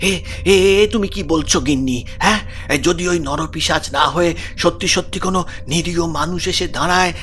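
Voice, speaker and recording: An anxious but stubborn village householder acted by a man speaks in a bright, tense voice. He is flustered and stammers over repeated words, in a horror audio drama with faint ambient noise. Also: high-pitched.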